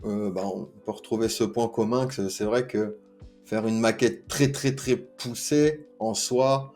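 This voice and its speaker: A man's voice: lowish voice, steady tone, a little slow, a little mellow, faint music behind. A man hosting a tech interview, asking long, hesitant questions full of fillers. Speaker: podcast host, interviewer.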